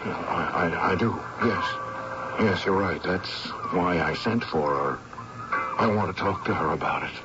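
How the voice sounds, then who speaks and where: A man's voice: sits low, measured pace. An actor playing a haunted, weakened man, anxious and broken, with a hesitant, faltering delivery in a radio drama. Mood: uneasy. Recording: noisy, muffled old radio recording.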